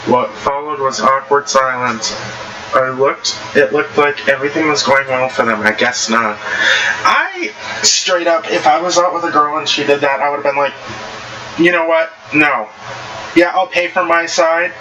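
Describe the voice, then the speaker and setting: A man's voice: fairly high pitch, unhurried, pushed and bright. A man casually reading online stories aloud, stumbling over words at times and adding blunt, slangy commentary, with noisy background ambience. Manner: blunt, slangy, informal reactions. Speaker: online story reader and commentator.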